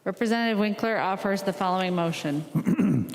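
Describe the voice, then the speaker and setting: A woman's voice: mid-range voice, moderate pace, vocal fry, a little mellow. A woman presiding over a legislature, making formal procedural announcements. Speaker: presiding House speaker. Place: large legislative chamber. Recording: reverberant large chamber hall.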